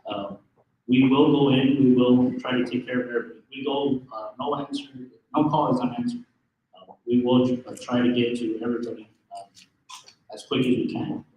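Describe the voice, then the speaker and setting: A man with a loud voice giving a fire safety briefing to a room of business people, hesitant with many ums and restarts. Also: mid-range voice, steady tone, normal speed, dull audio, slight background noise. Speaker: fire marshal office representative. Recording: echoey room, far from the mic.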